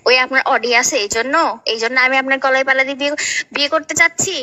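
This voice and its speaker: A woman's voice: sits high, rapid-fire, sharp tone. A young Bangladeshi woman arguing insistently and pleadingly in a private phone call, heard through a muffled call recording. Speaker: caller.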